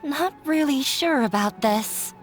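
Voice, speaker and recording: Timid, slow, high-voiced girl. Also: expressive, soft background music. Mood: timid, doubtful. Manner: hesitant, timid. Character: shy, anxious, self-doubting young woman. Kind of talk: video game voice acting.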